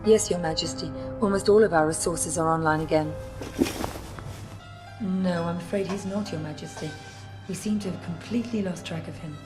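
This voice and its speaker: An actress playing a cool, scheming female intelligence handler in a video game cutscene, measured in manner. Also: lowish voice, natural voice. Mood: composed. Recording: background music over dialogue.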